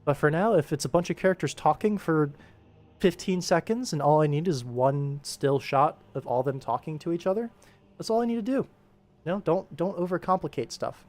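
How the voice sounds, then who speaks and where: A man's voice: on the high side, moderate pace, darkish tone. A man hosting a casual work livestream as an animation director, explaining informally and thinking aloud. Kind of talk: casual livestream commentary while working.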